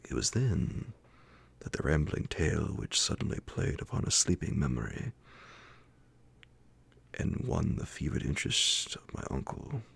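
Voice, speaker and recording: A man narrates horror fiction in a hushed ASMR bedtime style. His voice is extremely deep and full of gravelly fry, half-whispered and breathy. He takes his time, phrasing very slowly and deliberately, close to the microphone. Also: very animated. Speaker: narrator reading classic horror fiction aloud. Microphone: close, dry microphone.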